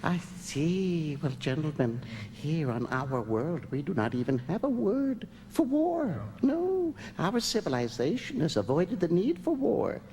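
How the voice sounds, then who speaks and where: A man acting in a radio drama as a serene, haughty alien ruler of the moon, deliberate and imperious, with coolly menacing undertones. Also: a little high, big pitch swings, darkish tone, big-room sound, a little hiss or hum. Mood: serene, superior. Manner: slow, deliberate, imperious, with musing hums and chuckles. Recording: music behind the voice.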